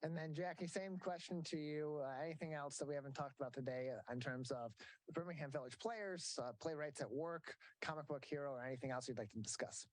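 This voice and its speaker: A man's voice: on the high side, a little fast, no echo, poor audio. Man hosting a local TV interview. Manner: reads event dates and ticket details, corrects himself. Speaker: host.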